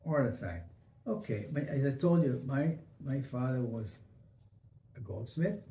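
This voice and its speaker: An older man from Israel telling his family history in an oral history interview, halting and full of fillers and restarts. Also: medium pitch, animated, warm tone. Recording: echoey room, faint noise.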